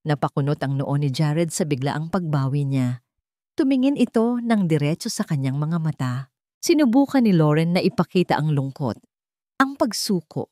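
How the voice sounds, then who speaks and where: Low-pitched woman narrating a drama. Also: dark tone, no echo, studio quality. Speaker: audiobook narrator. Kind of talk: dramatic scripted story narration.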